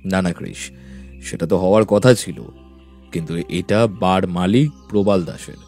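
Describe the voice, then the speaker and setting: A man playing a businesslike senior police officer in an audio story, deliberate and matter-of-fact. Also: sits low, creaky, darkish tone, faint music behind. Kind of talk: audio story dialogue acting.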